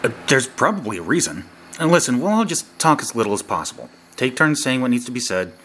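A man's voice: medium pitch, big pitch swings, quick pace, fairly bright tone, slight background noise. A man playing a commanding, hot-tempered federal agent in a radio drama, blunt and authoritative. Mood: businesslike. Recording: music under the voice.

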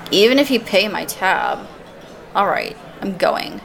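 A woman's voice: mid-range voice, sing-song, unhurried, gravelly. An actress playing a brash, defiant, foul-mouthed woman who likes a drink, in an audio drama. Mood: drunk, defiant. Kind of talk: modern audio drama acting.

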